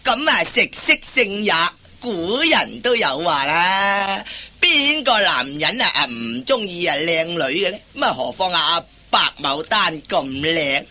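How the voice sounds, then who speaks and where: A bright-voiced, stammering henpecked husband. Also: male voice, high-pitched, big pitch swings, unhurried, hardly any pauses, exaggerated voice. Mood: sheepish. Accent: Cantonese. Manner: stammering, hesitant, apologetic. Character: timid henpecked husband, meek office clerk. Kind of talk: Cantonese radio comedy play acting.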